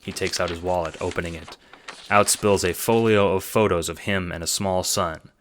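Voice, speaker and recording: A man's voice: low-pitched, fairly even intonation, moderate pace. A man reading scripted second-person narration for an audio drama.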